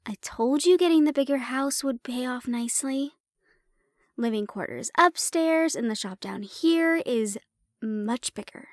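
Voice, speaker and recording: High-voiced, affectionate young-sounding fantasy heroine, acted by a woman. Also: unhurried, no echo, clean studio sound. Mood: teasing, warm. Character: affectionate, teasing human woman, dragon's partner and healer shopkeeper. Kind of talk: roleplay audio drama addressed to the listener.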